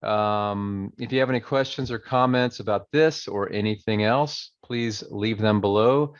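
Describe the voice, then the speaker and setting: A man with a fairly low voice hosts a casual video, reading and discussing old newspaper clippings. He talks in an easygoing way and slows down to stress the quoted lines. The audio is dry and a little dull, like a basic home setup. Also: no echo. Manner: reads quoted passages slowly and emphatically; relaxed, conversational, with fillers. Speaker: channel host.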